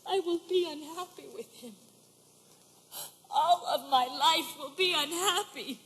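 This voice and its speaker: A woman's voice: sits high, a little slow, a bit bright. A woman playing a desperate, pleading young village daughter in a stage musical, with emotional delivery. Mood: pleading, distraught. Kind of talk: stage musical acting, projected. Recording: echoey hall stage recording from afar.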